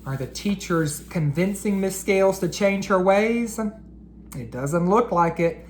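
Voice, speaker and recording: Unhurried, expressive woman reading aloud. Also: medium pitch, reverberant, slight background noise. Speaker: read-aloud storyteller. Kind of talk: children's picture-book read-aloud.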